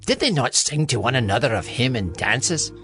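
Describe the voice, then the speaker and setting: A man's voice: mid-range voice, sing-song, moderate pace, a bit bright, soft background music. A man playing a suspicious, accusing royal servant in a dramatized Bible story, declamatory and pointed.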